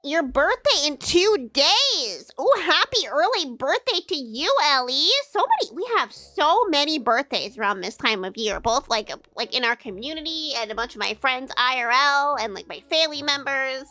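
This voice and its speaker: A woman's voice: high-pitched, expressive, normal speed, few pauses, bright, forceful tone, no echo. A woman streaming live, chatting casually and joking crudely, her voice nasal and stuffed up from a sinus infection. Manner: playful, giggly, crude jokes, many fillers. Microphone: streaming microphone.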